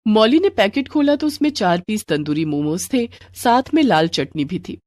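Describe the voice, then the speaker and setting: A woman's voice: medium pitch, animated, normal speed, a little mellow, no echo. A woman narrating a scripted moral bedtime story for children.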